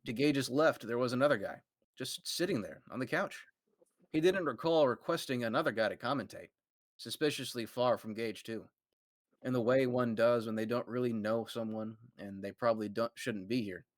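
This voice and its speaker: Brief: man, mid-pitched, reading fan fiction to friends on stream, casual and conversational, dry close mic. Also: no room sound. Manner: quick, conversational reading, laughing asides. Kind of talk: read-aloud on a live stream with friends.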